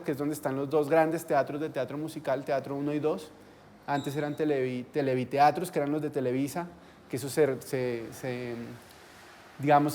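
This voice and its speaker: Man, guest speaker at an arts talk. Fairly high voice, discursive and enthusiastic, informal delivery. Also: dark tone. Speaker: guest speaker, theatre researcher and publisher. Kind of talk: informal conference presentation.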